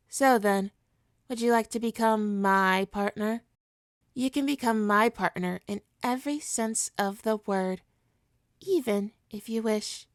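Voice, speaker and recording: A woman playing a suave supervillain hypnotist in an ASMR roleplay, coaxing and possessive, with a soothing, deliberate, mock-polite manner. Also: mid-range voice, no room sound, clean studio sound. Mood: seductive, coaxing.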